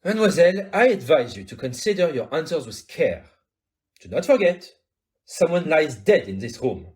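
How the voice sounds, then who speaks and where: A man's voice: on the high side, very animated, normal speed, a little mellow. A man playing a courteous, self-assured Belgian detective in an audio play, with a French-speaking Belgian accent and formal, precise, theatrical phrasing. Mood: probing, insistent.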